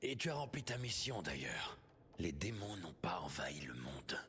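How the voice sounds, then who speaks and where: An actor playing a wounded veteran mentor in a game, wry, encouraging and familiar, his speech breathy. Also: low-pitched, sing-song, moderate pace, a bit bright, slight background noise.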